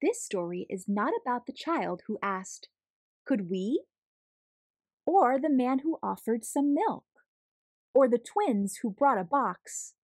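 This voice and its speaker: A woman reading a children's picture book aloud as a storyteller, in a deliberate, expressive, measured way. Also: a little high, dry recording. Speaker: read-aloud storyteller, host.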